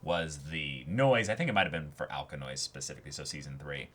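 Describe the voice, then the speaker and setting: A man co-hosting a reaction show, casually thinking out loud and spinning speculative theories. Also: extremely low pitch, a little fast. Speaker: co-host of an anime reaction show. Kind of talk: casual discussion.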